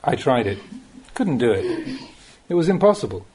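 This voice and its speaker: A man reads a Dhamma talk aloud in a dark, mellow tone with some huskiness. His phrasing is measured, yet his intonation moves widely and expressively, giving the teaching the feel of a thoughtful spoken audiobook. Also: mid-range voice, big pitch swings, breathy edge, low-quality recording. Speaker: monk reading Buddhist teachings.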